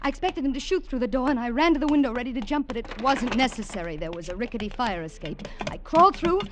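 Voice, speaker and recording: A woman's voice: fairly high pitch, expressive, quick pace, natural voice. An actress playing a young woman on the run who narrates her ordeal in a 1950s radio drama, earnest and stammering under tension. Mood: frightened. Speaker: narrator and heroine. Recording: hissy old radio recording.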